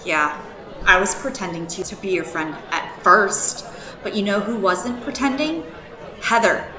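A woman's voice: medium pitch, measured pace, sharp tone, echoey room, a faint crowd, rough recording. An actress playing a stern, protective woman who speaks bluntly and sarcastically in an audio drama. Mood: exasperated, firm. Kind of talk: modern audio drama acting.

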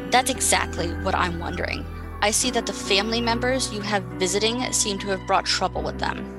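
A woman's voice: mid-range voice, brisk, music in the background, natural voice. Actress as cold, indignant older landlady. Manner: stiff, disapproving. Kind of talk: modern audio drama acting.

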